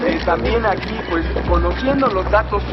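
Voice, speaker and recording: A man reporting live as a television news reporter, halting and insistent as he questions people. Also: high voice, animated. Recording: muffled archive TV audio, loud music.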